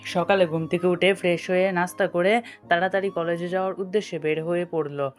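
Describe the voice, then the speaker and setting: A woman's voice: fairly low pitch, fairly even intonation, brisk. A woman reading a scripted story as a narrator, with dialogue between characters. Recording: music behind the voice. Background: background music.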